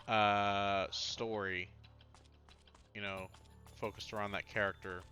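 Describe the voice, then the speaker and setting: A man giving casual, rambling gameplay commentary as a livestreamer, chatting with viewers and grumbling mildly at the game. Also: on the low side. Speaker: livestreamer, VTuber. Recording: music behind the voice.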